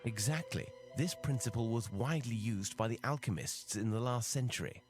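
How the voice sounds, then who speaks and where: An actor playing a confident, wry gentleman detective with a formal, assured manner in a video game. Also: medium pitch, over music, natural voice. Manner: formal, brisk, assured, lightly teasing. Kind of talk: video game dialogue acting.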